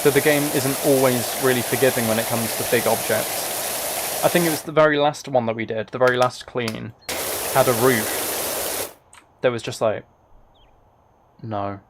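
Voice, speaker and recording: A man with a mid-pitched voice talks casually on a livestream as he plays a game. He is soft-spoken and addresses his viewers directly. Clear background noise of game ambience and spraying sits behind him. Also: moderate pace, pauses often. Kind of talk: casual livestream chat while playing a game. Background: game ambience and water spray.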